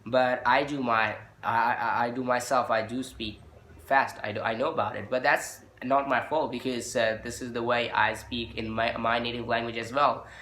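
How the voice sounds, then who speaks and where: A man's voice: medium pitch, fairly even intonation, moderate pace, sharp tone, slight background noise. A man who speaks English as a second language, giving an informal spoken lesson as a teacher, explaining and repeating his points with frequent check-in tags. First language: non-native English speaker. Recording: echoey, roomy sound.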